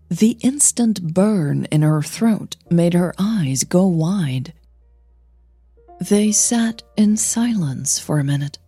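Woman hosting a fiction podcast, lowish soft husky voice, super dry sound. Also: no echo. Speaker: narrator and podcast host. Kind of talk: intimate fiction narration and informal podcast talk.

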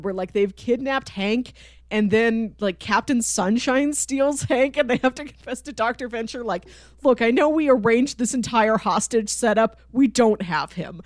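A woman's voice: on the high side, dry recording. Woman chatting casually, close dry sound. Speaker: podcast co-host. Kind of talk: casual chat, fan discussion of a TV show.

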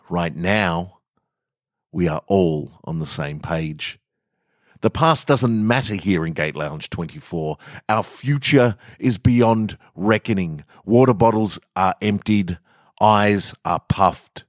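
Male podcast storyteller, low-pitched voice, close dry sound, relaxed literary reading. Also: no room sound. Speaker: podcast host, author and reader. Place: garage, indoors.

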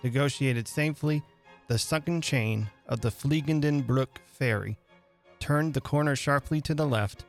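Gravelly male narrator reading a dramatic tale. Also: mid-range voice, a little slow, soft background music. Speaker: reader and host of a dramatic reading radio show. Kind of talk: dramatic audiobook narration of a literary story.

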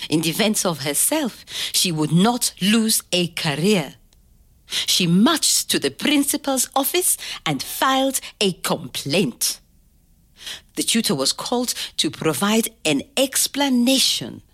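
An older woman telling a family story to a child, slow and expressive, pausing to ask gentle questions. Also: mid-range voice. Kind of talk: oral history storytelling to a child.

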